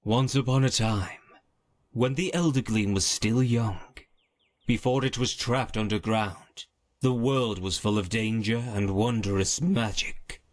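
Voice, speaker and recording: A man voicing a gentle storyteller in a video game, soothing and lyrical. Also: on the low side, a little slow, natural voice. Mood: gentle, consoling. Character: gentle male storyteller telling a consoling old tale.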